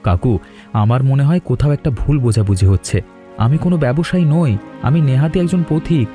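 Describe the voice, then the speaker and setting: A man's voice: mid-range voice, normal speed, dark tone, faint music behind, lightly acted. A man playing a polite, grateful young male traveller from the city in a cartoon dub.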